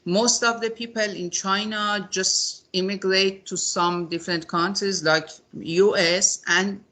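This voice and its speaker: A woman from Iran, interviewing a guest in a measured, hesitant way with many fillers. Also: mid-range voice, steady tone, bright, forceful tone. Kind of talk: interview conversation in English.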